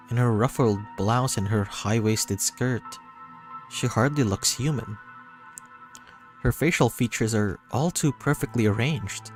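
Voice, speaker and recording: A man reading a visual novel as dramatic first-person narration, pausing between short phrases. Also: fairly low pitch. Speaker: reader voicing a visual novel. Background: music.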